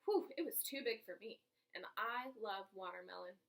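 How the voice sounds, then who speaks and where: A woman voicing an excitable, chatty schoolboy in a storybook, eager and emphatic, always rambling. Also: mid-range voice, normal speed, gravelly, natural voice. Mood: eager, boastful.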